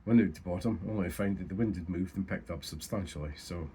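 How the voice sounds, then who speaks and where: Husky, bunged-up Scottish man. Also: deep voice, quick pace, dark tone. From Scotland. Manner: rambling, sweary, lots of ums. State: stuffy, nasal from a blocked nose. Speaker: fishing vlogger. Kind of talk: casual chat to camera.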